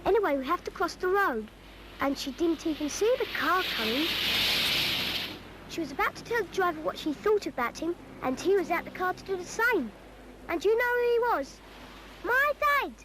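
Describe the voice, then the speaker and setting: A woman's voice: extremely high pitch, expressive, normal speed, background noise. A woman voicing a cheeky English schoolchild who chattily narrates a public information film, exasperated by grown-ups. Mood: exclaiming.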